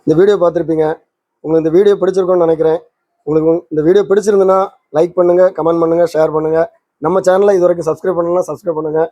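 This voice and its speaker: A man presents an informal demonstration. His voice sits a little high for a man and has a soft, mellow tone. He speaks quickly, guiding viewers step by step, in a close, dry sound. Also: steady tone, dry recording, lo-fi sound. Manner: explains step by step, addressing viewers directly. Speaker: presenter of a record player tutorial channel.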